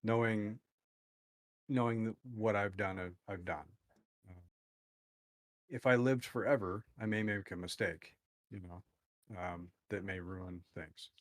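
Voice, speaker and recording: A man with a fairly low voice talks loosely, leaning on likes and you-knows between his jokes. The sound is dry and close, with no room around it. He keeps an easy, kidding tone. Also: steady tone, dry recording. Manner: joking, drawn-out, many likes and you-knows. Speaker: guest streamer. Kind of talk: casual podcast chat.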